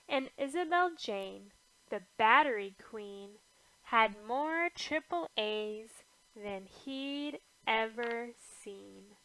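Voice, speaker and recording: A woman reading a rhyming children's picture book aloud in an expressive, rhythmic way. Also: on the high side, gravelly, no echo, rough recording. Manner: expressive, measured rhythmic verse delivery. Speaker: storybook reader.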